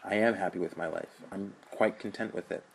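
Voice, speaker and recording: A man's voice: sits low, fairly even intonation, brisk, vocal fry, breathy edge, heavily acted, low-quality recording. A man voicing an earnest young college student who lectures his older brother. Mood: pleading.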